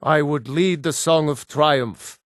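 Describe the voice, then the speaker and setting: A man's voice: a little high, takes it slowly, dry recording, clean studio sound. A man voicing a proud, stern Nord warrior for a fantasy video game, with a solemn, commanding and terse delivery. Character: gruff proud Nord warrior, stern battle-hardened brother-in-arms.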